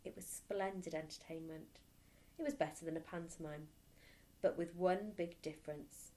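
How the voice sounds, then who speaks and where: A woman teacher reading a children's storybook aloud with expressive narration. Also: on the low side, moderate pace, slight background noise.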